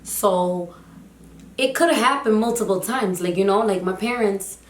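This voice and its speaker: Young woman vlogger, slightly hoarse, chatty storyteller, mid pitch, echoey room. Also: moderate pace, a little hiss or hum. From United States, Haitian family. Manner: chatty, animated, lots of fillers. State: slightly hoarse, losing her voice. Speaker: vlogger, teacher. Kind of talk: casual storytime vlog.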